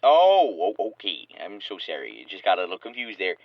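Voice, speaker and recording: A curt bureaucrat over intercom. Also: male voice, sits low, wildly swooping pitch, a little fast, no echo, full character voice. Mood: falsely polite. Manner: clipped, officious, condescending. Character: Maria, curt, passive-aggressive alien accounting bureaucrat. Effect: radio intercom filter. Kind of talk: scripted audio comedy acting. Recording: dull, dry intercom call.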